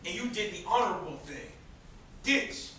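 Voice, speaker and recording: A man's voice: high-pitched, animated, normal speed, sharp tone. A man acting a bitter, sarcastic young brother in an amateur stage play. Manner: sarcastic, confrontational. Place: indoor theatre stage. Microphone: distant camera microphone. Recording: distant echoey stage recording, faint noise.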